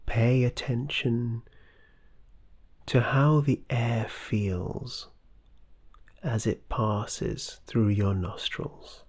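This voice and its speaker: Meditation guide, mid-pitched, husky and breathy, extremely slow guided-relaxation delivery with long pauses. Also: fairly even intonation, darkish tone.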